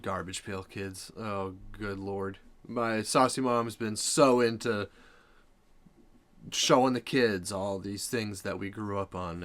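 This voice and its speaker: An American man hosting a reaction video, musing out loud in casual, unscripted chat with an appreciative manner. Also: lowish voice.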